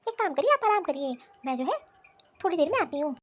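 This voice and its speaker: A sulky, envious grown daughter in a cartoon family story, voiced by a woman. Her high voice is full of sarcasm and complaint, the tone of a scheming sister-in-law. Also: sing-song, fast talker, highs cut off. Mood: self-pitying envy. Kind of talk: animated Hindi family drama dialogue.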